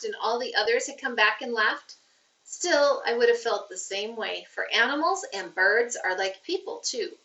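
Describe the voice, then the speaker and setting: A woman reading a children's novel aloud as a teacher would, with expressive, deliberate storytelling phrasing. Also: on the high side. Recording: roomy, muffled, distant microphone.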